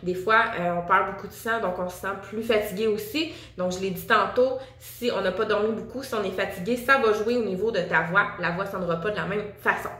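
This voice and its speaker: A woman's voice: mid-range voice, fairly quick, hardly any pauses, sharp tone. Echoey upbeat Quebec woman vocal coach. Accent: Quebec French. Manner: upbeat, chatty, addresses viewer directly. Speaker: singing teacher and vocal coach. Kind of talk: casual video lesson to camera.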